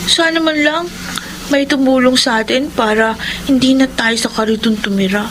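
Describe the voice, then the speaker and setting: A woman's voice: sits high, normal speed, lightly acted. Woman as a sad schoolgirl big sister. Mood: sad, hopeful. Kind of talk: Filipino radio drama acting. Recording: noisy radio drama with ambience.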